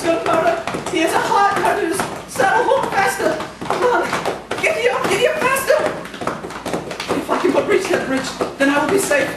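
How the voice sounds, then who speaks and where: A man playing a terrified, nervous schoolteacher in a radio play, exclaiming in breathless panic. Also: extremely high pitch. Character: terrified schoolteacher fleeing on horseback, frantic and nervous. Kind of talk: live radio-play acting. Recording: very echoey, distant, noisy live stage recording.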